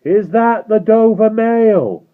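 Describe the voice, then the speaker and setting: A man voicing a hoarse rider who calls out slowly from a distance, on a tinny phone-like recording. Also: medium pitch, expressive, voice pushed up, close to an everyday voice. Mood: calling out. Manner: slow, drawn-out calling. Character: hoarse mounted messenger man, shouting from afar.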